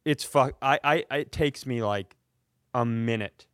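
Guy podcast comedian ranting and swearing thru a funny story, animated, close dry sound. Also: mid-range voice, unhurried, clean tone, dry recording. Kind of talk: comedic storytelling in casual chat.

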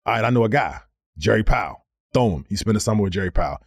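A man in his thirties, a former pro basketball player, telling stories on a podcast in a casual, animated way, repeating words as he goes. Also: on the low side, fast, gravelly, slightly rough, no room sound, studio quality. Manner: animated storyteller, slips into quoted voices, repeats words. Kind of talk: casual storytelling.

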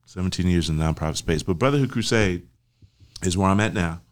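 Older male podcast guest, deep low voice, relaxed and affirming in conversation. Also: fast talker. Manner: laid-back, affirming, speaks in soft trailing phrases. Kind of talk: casual chat.

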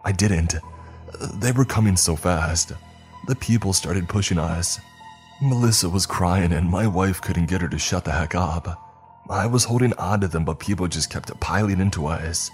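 A man's voice: sits low, moderate pace, faint music behind, lightly acted. A man voicing a panicked, angry husband who denies murder and mocks his accuser. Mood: defensive. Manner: defensive, scoffing, shouting.

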